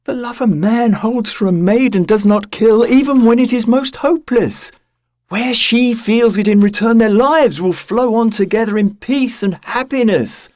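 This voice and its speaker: An actor playing a faithful young lover in an audio drama, with an earnest, solemn and dramatic manner. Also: male voice, extremely high pitch, normal speed, a little mellow, no room sound.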